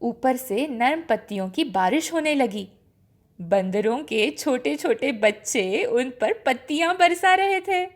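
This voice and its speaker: High-voiced, expressive woman storyteller. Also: takes it slowly. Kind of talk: expressive children's story narration.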